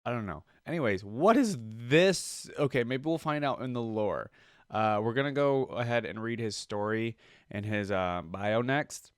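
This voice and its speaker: A man's voice: mid-range voice, animated, measured pace, clean tone, no echo, clean studio sound. A man giving casual, off-the-cuff reaction commentary as a video host, often muttering short, awed interjections.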